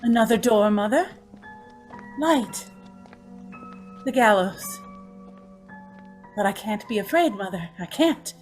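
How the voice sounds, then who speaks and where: A woman's voice: mid-range voice, expressive, slow pace, pauses often, soft background music. A woman playing an anguished young condemned woman haunted by an inner voice, pleading and intense, in old-time radio drama style. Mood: fearful resolve. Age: young adult.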